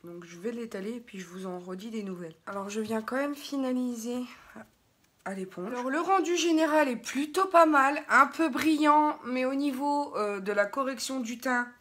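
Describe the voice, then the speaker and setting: A woman's voice: fairly high pitch, lively intonation, moderate pace, a bit bright. Chatty French woman doing a casual makeup vlog. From France. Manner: chatty, digressive, talks to viewers. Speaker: beauty vlogger. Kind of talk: casual makeup tutorial chat.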